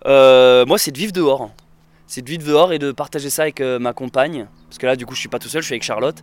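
A man's voice: mid-range voice, fast talker, no room sound. A man chatting casually in an interview, rambling with many fillers and restarts. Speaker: interviewee, traveler and videographer.

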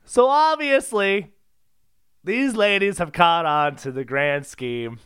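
A man's voice: high voice, takes it slowly, fairly bright tone, smooth. A man co-hosting a comedy podcast, casual and jokey, often close to laughing as he talks. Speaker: co-host.

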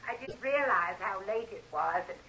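An actress playing a courteous elderly aunt, slow and polite, in mid-century radio drama style. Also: female voice, medium pitch, lively intonation, pushed and bright. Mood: gracious, apologetic. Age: older. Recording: dull, hissy old radio, calling from off mic.

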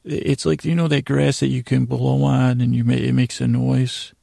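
A man with a gravelly, creaky voice hosting a bedtime podcast, rambling through an improvised monologue in a halting, stammering way and repeating his words. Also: medium pitch, little pitch movement, warm tone, no echo, studio quality.

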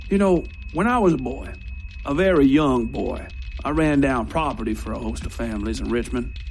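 A man's voice: medium pitch, normal speed, darkish tone, a little hiss or hum, natural voice. Man acting a pompous, cruel old cavalry general. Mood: smug reminiscing. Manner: grandiose, oratorical, archaic turns of phrase. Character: cruel, pompous Southern cavalry major general, ageing, gloating. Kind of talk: dramatised audio horror story. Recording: music under the voice.

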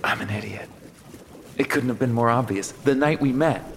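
A man's voice: medium pitch, normal speed, lightly acted. A man playing a wry, suspicious lover on the run in an audio fiction drama. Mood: suspicious, accusing. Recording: reverberant, clearly noisy ambient sound scene.

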